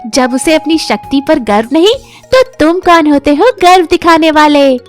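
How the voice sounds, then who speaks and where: A woman's voice: high-pitched, normal speed, faint music behind, pitched above the performer's own voice, natural voice. A woman voicing a cheeky, fearless young male monkey who taunts and lectures in a children's animal fable. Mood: preachy. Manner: taunting, challenging, preachy.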